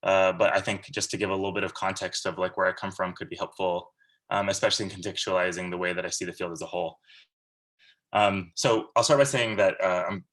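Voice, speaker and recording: Man, 30s, low voice, flat delivery, earnest, rambling guest lecture, video call. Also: quick pace. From Central California, USA. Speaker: guest speaker. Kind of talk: casual lecture.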